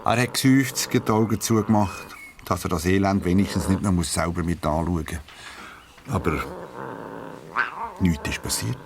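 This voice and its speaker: A man with a Swiss accent narrating a scripted children's story, using dramatic pauses. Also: deep voice, background noise. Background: plucked guitar music.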